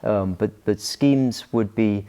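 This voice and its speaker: A man's voice: fairly low pitch, takes it slowly, dark tone, clean tone. A middle-aged Scottish man, a pastor guesting on a podcast, talking casually with many hesitations and restarts. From Scotland. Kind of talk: casual interview talk.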